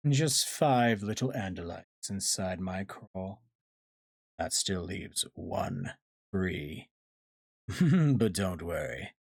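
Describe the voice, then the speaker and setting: Menacing alien warlord for a story reading, played by a man: unhurried, mocking, gloating, on a dry recording. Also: low voice, expressive, no room sound, heavily acted. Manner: slow, drawn-out, taunting.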